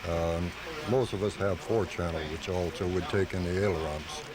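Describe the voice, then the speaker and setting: Male club member interviewed on radio, low pitch, measured and hesitant, busy outdoor crowd behind. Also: steady tone. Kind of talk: explanatory live radio interview.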